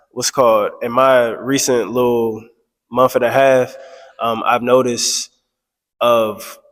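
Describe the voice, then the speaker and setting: A man getting over a cold, with a stuffy voice, talking candidly in a rambling casual vlog full of fillers and restarts. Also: medium pitch. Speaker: vlogger. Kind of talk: casual personal vlog monologue. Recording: long echoey reverb.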